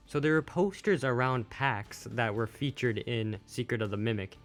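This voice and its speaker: A man's voice: medium pitch, moderate pace. A man giving casual, off-the-cuff video commentary as a host, plain-spoken and a little unsure. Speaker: YouTube host, game news commentator. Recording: music playing behind the voice.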